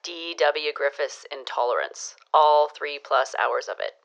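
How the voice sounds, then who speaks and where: A woman's voice: deep voice, lively intonation, unhurried. A woman playing a gossipy, knowing neighbor who teases and dishes news in a period audio drama. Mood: wry, amused. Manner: arch, knowing, teasing.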